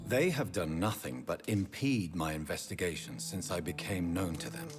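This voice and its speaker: Deep, brooding gentleman vampire played by an actor. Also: normal speed, lightly acted. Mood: grave, wary. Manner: formal, polite, grave. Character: courteous, brooding gentleman vampire investigator, newly turned. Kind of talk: video game dramatic dialogue. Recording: loud background music. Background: music.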